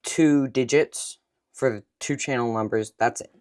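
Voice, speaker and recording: A man's voice: mid-range voice, a little slow, darkish tone. A young man, a ham radio operator, explaining radio features step by step in a room at home. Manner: explains step by step with small restarts. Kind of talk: casual technical explanation.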